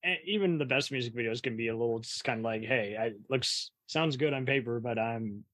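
A man's voice: medium pitch, fairly quick, fairly bright tone, no echo. A man chatting casually as an interviewer, offering rambling, hedged opinions. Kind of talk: casual chat.

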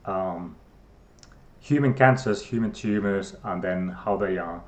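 A man's voice: low-pitched, takes it slowly. A middle-aged man from Finland with a Finnish accent, a guest scientist who talks hesitantly with many fillers and restarts. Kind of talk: casual interview talk.

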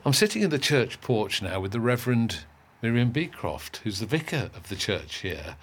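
A man's voice: medium pitch, very animated, moderate pace, slightly rough. A male presenter interviewing people with polite, curious questions.

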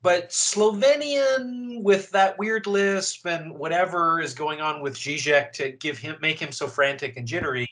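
Measured, thoughtful male interviewer. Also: a little high, fairly bright tone. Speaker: podcast host. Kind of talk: conversational interview.

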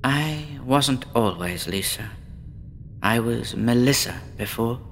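A man playing a despondent, withdrawn woman patient in a horror audio drama, speaking in a brooding, deliberate, theatrical way. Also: medium pitch, lively intonation, creaky, fairly bright tone, a little hiss or hum, full character voice. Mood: bleak, brooding. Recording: long reverb with faint background ambience.

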